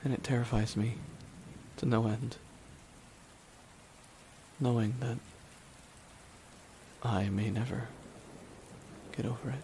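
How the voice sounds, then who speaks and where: A man playing a bitter, weary supervillain in a dramatic ASMR audio roleplay, speaking deliberately with long pauses to a dying nemesis. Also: medium pitch. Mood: wounded, bitter. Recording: steady rain noise behind the voice. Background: rain falling on surfaces.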